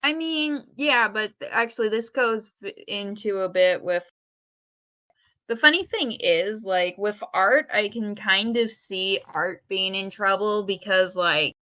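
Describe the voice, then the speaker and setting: A woman talking casually as a podcast co-host, earnest and a bit halting as she argues her points. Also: medium pitch, sharp tone, lo-fi sound. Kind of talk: casual speculative podcast chat.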